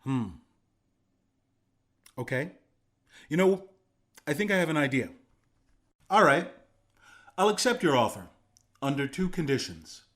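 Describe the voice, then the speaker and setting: An idealistic young rebel leader from a video game. His voice sits a little higher than most men's. He talks like a sincere, approachable comrade, expressive and conversational, with an earnest streak of self-doubt. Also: unhurried, pauses often. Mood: wary, negotiating. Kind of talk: video game character dialogue.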